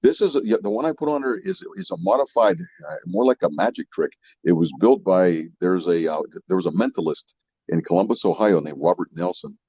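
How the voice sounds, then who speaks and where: A man's voice: sits low, expressive, fairly quick, dark tone, husky, dry recording. A man co-hosting a podcast, explaining things in casual chat, repeating himself and checking in with his listeners. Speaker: podcast co-host. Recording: muffled lo-fi audio, dry.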